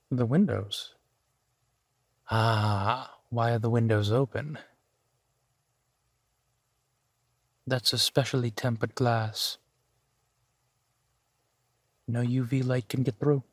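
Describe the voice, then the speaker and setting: Guy doing a suave old vampire gentleman for rp audio, takes his time, formal and playful, very dry sound. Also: mid-range voice, steady tone, no echo, close to an everyday voice. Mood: reassuring, wry. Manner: unhurried, genteel, formal wording with pauses. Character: suave male vampire, four centuries old, genteel, playfully amused host. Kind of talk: intimate audio roleplay, spoken to the listener.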